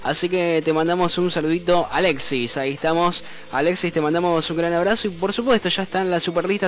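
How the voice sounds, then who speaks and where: A playful Argentine radio host with a fairly high voice reads out listener messages and laughs easily between them. Soft music plays behind him. He keeps up a loose, joking chat and counts down the chart. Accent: Argentine Spanish.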